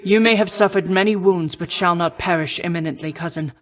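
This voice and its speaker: A woman playing a noble, protective war goddess in a video game, speaking in formal, measured, old-fashioned phrasing. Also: on the low side, natural voice. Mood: composed, encouraging. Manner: formal, measured, archaic stately diction. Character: noble female war goddess, poised, protective, confident mentor. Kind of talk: scripted video game voice acting.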